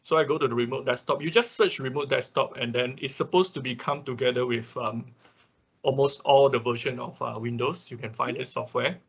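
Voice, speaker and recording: A man teaching a software tutorial step by step in an unscripted, halting way, often marking steps with short filler confirmations. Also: mid-range voice, steady tone, creaky, husky. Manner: halting, often repeats "okay" and "right" as markers. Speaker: workshop instructor. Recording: dull lo-fi online class audio.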